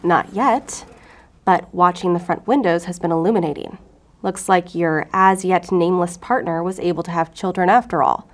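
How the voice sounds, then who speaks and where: A woman's voice: on the low side, normal speed, close to an everyday voice. A woman acting in an audio drama as a hard-edged FBI special agent, blunt, probing and short-tempered. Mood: probing, cool.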